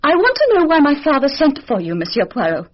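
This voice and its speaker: An actress playing a bitter, overwrought young British aristocrat's daughter in a radio murder mystery, with intense, theatrical delivery. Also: female voice, high voice, huge pitch swings, fast, lo-fi sound. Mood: demanding, indignant. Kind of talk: radio drama acting.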